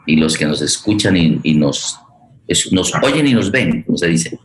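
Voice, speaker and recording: Guy hosting, really deep voice, echoey, kinda formal, keeps repeating words as he goes. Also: sing-song, slight background noise. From Colombia. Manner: halting, repeats words as he searches for phrasing. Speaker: host introducing a guest at a theatre forum. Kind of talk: spoken introduction, formal and improvised. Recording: echoey room sound.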